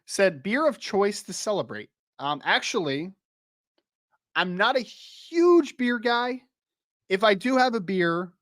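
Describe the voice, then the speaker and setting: A young man chats on his podcast in a loose, rambling way, laughing easily and circling back on his words. His voice sits a little high and sounds slightly worn, as if he is losing it. The sound is dry and close. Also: fairly bright tone, no room sound. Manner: chatty, rambling, laughs easily, many restarts and "like". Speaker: podcast host. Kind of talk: casual live-stream podcast chat with chat readers.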